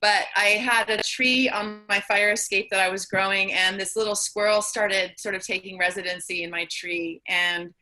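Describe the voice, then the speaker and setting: A woman's voice: mid-range voice, fairly even intonation, moderate pace, hardly any pauses, pushed and bright, poor audio. A middle-aged woman playwright casually explaining her own play. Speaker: playwright and performer.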